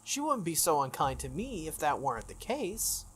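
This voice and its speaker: A video game player reading text aloud and commenting casually, with a slightly halting delivery and stumbles. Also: mid-range voice, big pitch swings, a bit bright, a little hiss or hum. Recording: music behind the voice. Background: background game music.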